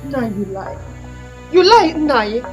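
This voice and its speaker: A woman's voice: high voice, very animated, slow, darkish tone, soft background music, exaggerated voice. A woman playing a headstrong young woman who complains and confronts. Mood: aggrieved. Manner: defiant, complaining.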